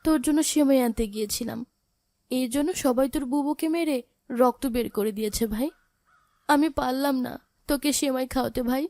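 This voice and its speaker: A woman plays an orphaned elder sister in a moral cartoon. Her voice is high, soft-hearted and sorrowful, with a pleading way of talking. The sound is very dry. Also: a little fast, no echo. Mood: heartbroken. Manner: plaintive, pleading. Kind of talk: dramatic cartoon voice acting.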